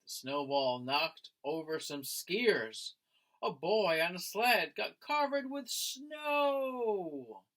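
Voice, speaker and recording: A man, a teacher, reading a picture book aloud to young children in an expressive storytelling style. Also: high-pitched. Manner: expressive, slow, addressing listeners as friends.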